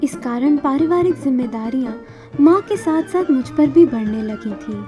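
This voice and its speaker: A woman's voice: sits high, expressive, normal speed, warm tone, faint music behind. A woman whose native language is Marathi, narrating her own life story from a script as a singer.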